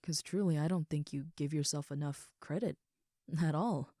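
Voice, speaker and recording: Affectionate young female ogre roommate played by a woman for comfort roleplay audio: very low-pitched, relaxed, soft and sincere, close dry sound. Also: moderate pace, dry recording, natural voice. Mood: tender, sincere. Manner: soft, sincere, rambling, reassuring.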